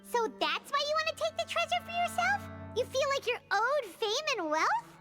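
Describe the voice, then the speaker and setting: A tiny excitable companion creature from a video game, talking eagerly and indignantly. The voice sits extremely high and swoops wildly. Loud game music plays behind her. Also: normal speed. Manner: eager, indignant, speaks of herself by name. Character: tiny floating female companion, excitable, childlike.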